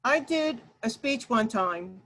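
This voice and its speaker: A woman with a loud, projecting voice leads an online workshop, addressing her listeners directly. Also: fairly high pitch, expressive, takes it slowly, slight background noise. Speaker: workshop leader and Toastmasters speaker. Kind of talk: workshop presentation to an online group. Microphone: video call.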